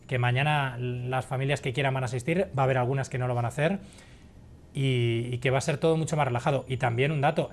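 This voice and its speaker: A man reporting in a studio news conversation, explaining with measured hesitations. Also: medium pitch.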